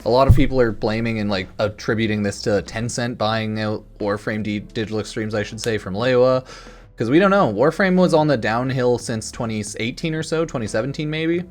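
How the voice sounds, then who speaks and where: A man's voice: mid-range voice, fairly quick. A man giving casual, rambling commentary as a gaming YouTuber, informal and noncommittal, with some mild swearing. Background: music in the background.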